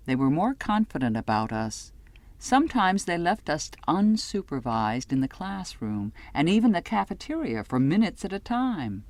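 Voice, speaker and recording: A woman reading scripted first-person literary fiction as an audiobook narrator, with measured, dramatic phrasing. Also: deep voice, a little mellow, no room sound.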